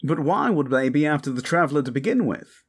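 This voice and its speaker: A man's voice: a little high, big pitch swings, a little fast, breathy edge. A man playing an informal, puzzled, down-to-earth sidekick in a fantasy audio roleplay. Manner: informal, questioning.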